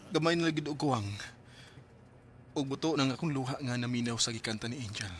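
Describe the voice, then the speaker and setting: A man's voice: medium pitch, steady tone, fairly quick, lightly acted. An actor playing a wistful young man torn over an old love in a sentimental radio drama. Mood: heartbroken, wistful. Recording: music under the voice.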